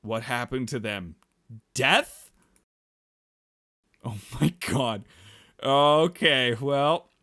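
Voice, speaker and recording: A man's voice: mid-range voice, lively intonation, very slow, long pauses, sharp tone. A man playing a visual novel, reading it aloud with casual, playful, exclamatory reactions. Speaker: let's player. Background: game music.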